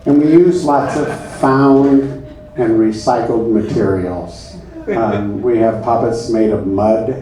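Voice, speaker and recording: A man's voice: mid-range voice, steady tone, slow, warm tone, close to an everyday voice. Man playing an earnest spokesman in a very echoey room. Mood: earnest, explaining. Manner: hesitant, rambling, over-explaining. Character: earnest, rambling male puppet-troupe spokesman fielding questions. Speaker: moderator of a comic question session. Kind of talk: live comedy sketch, mock question-and-answer. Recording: echoey room, far mic, audience laughter.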